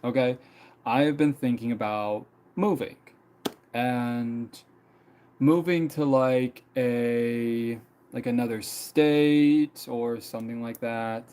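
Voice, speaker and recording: A man's voice: mid-range voice, slow pace, warm tone, a little hiss or hum. A young man in his mid-twenties, chatting casually on a live stream, rambling with many fillers and repeated phrases. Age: 20s. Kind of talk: casual personal storytelling.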